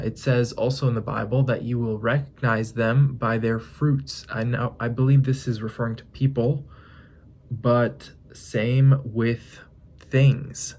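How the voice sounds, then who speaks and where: A man's voice: medium pitch, darkish tone. Young man musing aloud in a vlog. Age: 20s. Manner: musing, thinks aloud with pauses and restarts. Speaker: fitness vlogger. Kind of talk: reflective casual vlog monologue.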